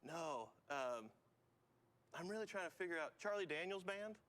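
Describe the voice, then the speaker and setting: A man co-hosting a casual morning show, his voice pitched a little higher than most. He chats loosely and riffs on jokes with his co-host. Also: expressive, moderate pace, a bit bright. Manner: playful, riffing jokes. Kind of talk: casual chat.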